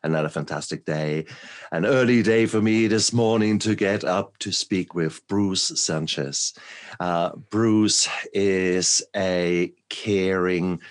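Man, very deep voice, enthusiastic podcast host, from Germany, laughs a lot. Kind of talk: conversational interview.

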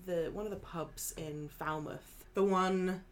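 A woman's voice: fairly low pitch, steady tone, slow pace, slight background noise. A woman co-hosting a podcast from home, bantering playfully and teasing her friend. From England. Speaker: podcast co-host. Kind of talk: casual chat. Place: home flat.

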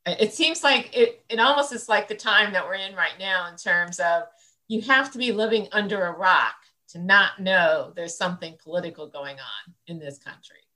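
A woman's voice: fairly low pitch, moderate pace, bright, forceful tone, poor audio. A woman in her sixties from central Illinois, an interview guest, recalls the past in a rambling, thoughtful way with many fillers. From central Illinois, United States. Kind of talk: reflective conversational interview.

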